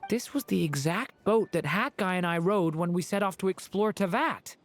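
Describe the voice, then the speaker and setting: A woman playing an upbeat, friendly young adventurer, encouraging and expressive in a video game. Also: on the low side, moderate pace, faint music behind, natural voice. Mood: cheerful, nostalgic. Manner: animated, encouraging.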